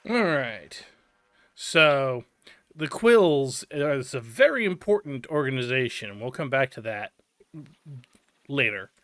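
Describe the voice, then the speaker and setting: A man's voice: medium pitch, big pitch swings, takes it slowly, a bit bright, no room sound. A man hosting a casual let's-play, explaining in a chatty way with fillers and asides. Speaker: game channel host.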